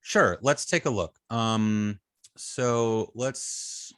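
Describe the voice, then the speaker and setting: Low-voiced man teaching, halting and close. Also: fairly bright tone, clear, resonant tone, dry recording. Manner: halting, repeats and restarts phrases while reasoning. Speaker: web design instructor. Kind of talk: informal hands-on teaching, thinking aloud.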